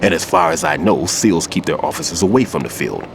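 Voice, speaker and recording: An actor playing a seasoned older male bomb expert and wary mentor with a measured, grave delivery. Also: low voice, expressive, background noise. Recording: game cutscene with music.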